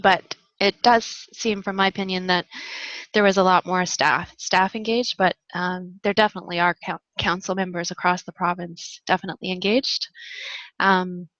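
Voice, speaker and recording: A woman's voice: lowish voice, steady tone, normal speed, a bit bright, dry recording. A woman presenter who is losing her voice, answering webinar questions with fillers and restarts. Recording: slightly dull lo-fi audio.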